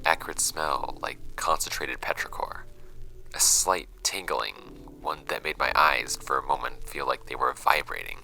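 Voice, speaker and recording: An actor playing a brooding archivist who records notes on strange case files in a horror audio drama, measured and hesitant, with pauses mid-sentence. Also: male voice, very deep voice, vocal fry, pushed and bright, heavily acted, low-quality recording.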